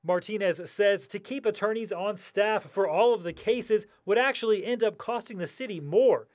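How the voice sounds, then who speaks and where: High-voiced male TV reporter. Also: normal speed, darkish tone. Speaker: TV news reporter. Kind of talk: scripted report narration.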